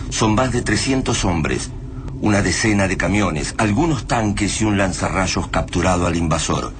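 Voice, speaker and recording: A man's voice: sits low, expressive, moderate pace, a little hiss or hum. A man narrating a radio play about an apocalyptic war in a grave, dramatic style, as a scripted narrator. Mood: grave, suspenseful. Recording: loud music under the voice.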